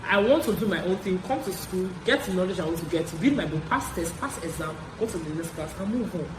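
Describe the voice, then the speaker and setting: A young adult man with a Nigerian accent tells stories casually, in an animated way, repeating phrases for emphasis as a vlogger. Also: high-pitched, a little fast. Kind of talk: casual story-time chat. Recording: roomy echo, clear background noise.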